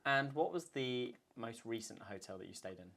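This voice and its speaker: A man's voice: medium pitch, expressive, moderate pace, vocal fry, fairly bright tone. Easy-going male podcast host. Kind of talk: casual interview chat.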